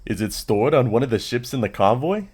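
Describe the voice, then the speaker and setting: A man's voice: mid-range voice, lively intonation, a little fast, darkish tone, husky. A man playing a practical, plain-spoken mercenary in a sci-fi audio drama, with an inquisitive manner. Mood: questioning.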